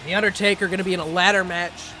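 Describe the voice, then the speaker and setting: High, bright-voiced excitable game commentator man. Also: racing, soft background music, low-quality recording. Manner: excitable, rising to shouts at big moments. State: losing his voice, coughing. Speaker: gaming channel host and commentator. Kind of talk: play-by-play wrestling game commentary.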